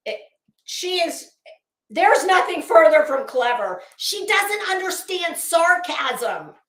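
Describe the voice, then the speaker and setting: A woman with a high voice hosts a casual livestream, speaking at an unhurried pace with halting, emphatic repeats. She is blunt, mocking and freely profane as she talks to her viewers. The sound is noticeably roomy and echoey. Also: sing-song, a bit bright. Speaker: livestream host.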